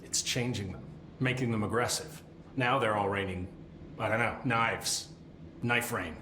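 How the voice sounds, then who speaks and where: An actor playing a wry, jaded middle-aged government supervisor in a video game, rambling with sardonic asides. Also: male voice, fairly low pitch, unhurried, pushed and bright, echoey room, slight background noise. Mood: wry lecturing. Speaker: containment sector supervisor. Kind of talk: video game cutscene acting.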